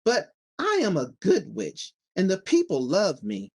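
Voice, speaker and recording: A kindly little old witch played by a man reading aloud from a children's storybook. She explains things with calm assurance, her pitch rising and dipping in lively curves. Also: unhurried, full character voice. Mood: assured.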